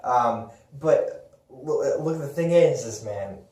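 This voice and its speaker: A man's voice: mid-range voice, expressive, takes it slowly, a little mellow. A man co-hosting a podcast in casual, unscripted chat, speaking informally and emphatically, with phrases often restarted. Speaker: podcast co-host. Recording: echoey room, distant mic, noisy with clicks.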